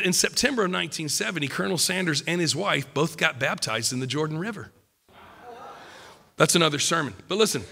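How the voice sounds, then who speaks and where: A middle-aged man preaching at a pulpit, emphatic and joking, a little croaky from a cold. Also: high-pitched, pushed and bright, in a large hall. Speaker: pastor. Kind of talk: sermon. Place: church stage at a wooden pulpit. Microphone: podium mic.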